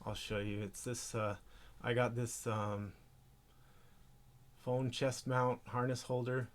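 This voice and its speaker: A man presenting an informal hands-on tech tutorial, speaking haltingly as he thinks aloud while handling gear. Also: medium pitch, little pitch movement, a little hiss or hum.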